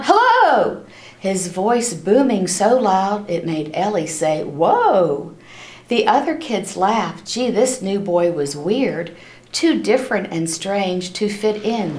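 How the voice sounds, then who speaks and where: Slow-paced woman reading aloud, roomy echo. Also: mid-range voice. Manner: animated, acts out characters' lines, pauses to ask listeners questions. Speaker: reader of a children's picture book. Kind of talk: expressive read-aloud storytelling for children. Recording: roomy, echoing room sound.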